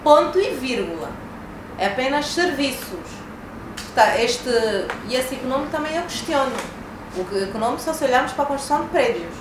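Woman, forceful debater, higher voice, distant echoey noisy recording. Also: very animated, a little fast. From Angola. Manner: emphatic, argumentative, repeats words. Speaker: debate speaker. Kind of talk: public debate. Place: hall at a public debate. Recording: echoey room from far off, noisy.

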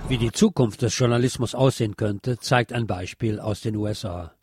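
A man narrating a scripted journalistic essay in a measured, formal read-aloud delivery. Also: on the low side, over loud music.

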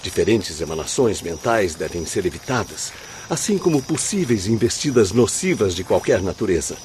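A calm, learned male spirit guide in a radio drama. His lowish voice is courteous and reassuring, explaining things formally. Slight ambient noise sits behind him. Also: normal speed.

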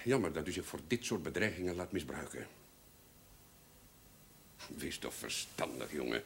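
An actor playing a haughty older notary in a television period drama, formal and condescending, on an old soundtrack. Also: male voice, extremely low pitch, very animated, normal speed, vocal fry, fairly bright tone, a little hiss or hum, exaggerated voice. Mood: smooth, condescending. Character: haughty, indignant older male notary, smoothly manipulative.

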